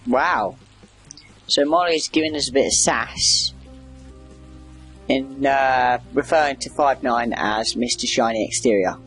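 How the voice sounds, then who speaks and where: A man's voice: mid-range voice, a little slow, pauses often, a bit bright, faint music behind. A man giving casual, rambling video game commentary, wry and chatty as he reacts aloud. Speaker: gamer doing a video game playthrough.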